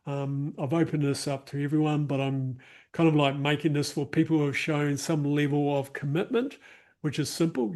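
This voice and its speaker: A man's voice: on the high side, a little mellow. A man with a New Zealand accent hosting an online workshop, chatting casually with many fillers and restarts over a video call mic. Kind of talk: casual closing remarks to a live online group.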